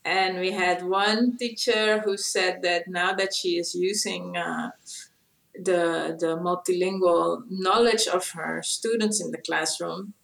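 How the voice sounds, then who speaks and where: A woman's voice: on the low side, fairly even intonation, takes it slowly, creaky, a bit bright. Middle-aged Dutch-accented woman in an echoey room. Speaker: interview guest, co-founder of a school language programme. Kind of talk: interview answers, explanatory.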